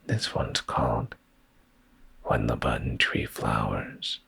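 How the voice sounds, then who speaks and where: Very deep, breathy man whispering slowly. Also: fairly even intonation. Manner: soothing, intimate, hushed. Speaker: ASMR streamer reading bedtime stories. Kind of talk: slow whispery ASMR bedtime storytelling.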